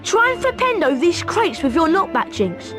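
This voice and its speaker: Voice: extremely high pitch, sing-song, normal speed, over music. An actor playing a friendly, eager young British boy wizard who guides a friend in a video game. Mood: friendly, instructive.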